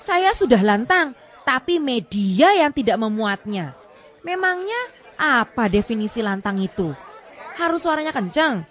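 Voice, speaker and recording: A woman's voice: on the high side, big pitch swings, unhurried, fairly bright tone, some chatter behind. A woman voicing an indignant, combative female member of parliament in a radio drama, firing back rhetorical questions. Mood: indignant, defensive.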